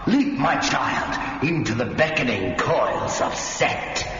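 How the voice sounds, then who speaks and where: An actor playing a fanatical high priest of an evil cult in a radio drama, commanding and theatrical in his delivery. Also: expressive, a bit bright, dull audio, a little hiss or hum. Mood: sinister command. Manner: slow, commanding, theatrical incantation. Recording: band-limited radio recording, cavernous echo, music.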